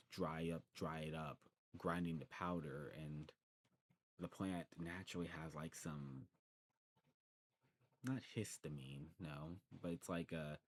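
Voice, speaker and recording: A man's voice: low-pitched, a little mellow, slight background noise. A man doing an ASMR role-play as an eager demon shopkeeper, explaining things in a rambling, informal way. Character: male demon shopkeeper of a snuff shop, eagerly welcoming.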